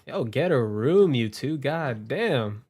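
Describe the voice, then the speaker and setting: A man's voice: medium pitch, lively intonation, slow pace, a little mellow. A man streaming a game, giving casual, joking live reactions in slangy speech.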